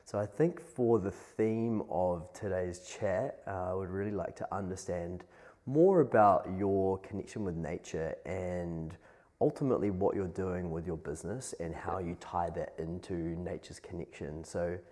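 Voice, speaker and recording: Deep-voiced New Zealand man hosting. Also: a little slow, warm tone. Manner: friendly, laughs often. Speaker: podcast host. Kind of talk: casual interview chat. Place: guest's home.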